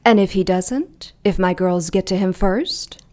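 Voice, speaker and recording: A woman's voice: mid-range voice, a little slow, a little mellow, lightly acted. Haughty, menacing ancient demon queen acted by a woman. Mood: skeptical. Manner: imperious, disdainful, threatening. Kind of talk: audio drama acting.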